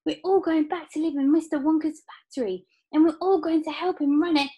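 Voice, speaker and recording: A woman's voice: fairly high pitch, expressive, normal speed, warm tone, pitched above the performer's own voice. A woman voicing an eager, earnest little boy in a breathless way. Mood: breathless excitement.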